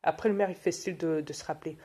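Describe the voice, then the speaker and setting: Woman, low voice, husky, casual storytelling, colloquial. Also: racing. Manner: animated, colloquial, many fillers.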